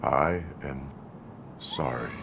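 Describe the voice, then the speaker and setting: An actor playing a stoic, mechanical cyborg police officer in a video game, deadpan and measured. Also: male voice, extremely low pitch, creaky, a little hiss or hum, exaggerated voice. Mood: solemn.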